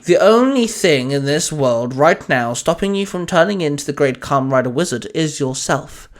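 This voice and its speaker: A man's voice: a little high, expressive, normal speed, close to an everyday voice. A man voicing a chirpy, knowing shopkeeper who mentors a younger man in an audio story. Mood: teasing.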